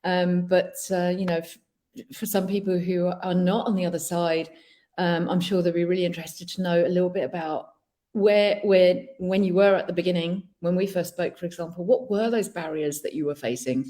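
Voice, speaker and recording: Woman host, career coach, encouraging, warm mellow tone, lowish voice. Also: fairly even intonation, moderate pace. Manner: encouraging, lots of soft affirming murmurs. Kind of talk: interviewing a client.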